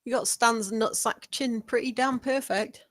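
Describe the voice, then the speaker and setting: A woman's voice: a little high, steady tone, a little slow, no room sound, studio quality, lightly acted. A woman playing a casual, wry spaceship crew member in a sci-fi comedy table read.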